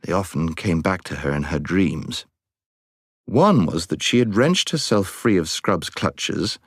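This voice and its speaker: A man narrating a storybook as an audiobook reader, with dramatic, measured phrasing. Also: low voice, lively intonation, breathy edge, dry recording, studio quality.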